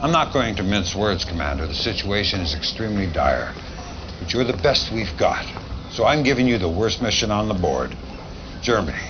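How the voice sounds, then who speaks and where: A man's voice: lowish voice, big pitch swings, normal speed. An actor playing a blunt, weary general briefing his commander in a video game cutscene. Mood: grave, urgent. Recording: dull lo-fi audio, clear background noise.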